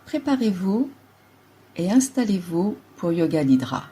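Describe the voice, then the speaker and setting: Woman doing guided relaxation, dark mellow voice, a bit husky, calm short phrases. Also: on the low side, a little slow. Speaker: yoga nidra guide. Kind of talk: slow guided relaxation meditation.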